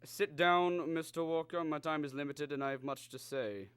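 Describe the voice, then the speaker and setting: A man playing a calm, superior alien visitor in a radio drama, speaking formally and with measured condescension. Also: on the high side, clear, resonant tone, dry recording, natural voice. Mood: superior, grave. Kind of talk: old-time radio drama acting.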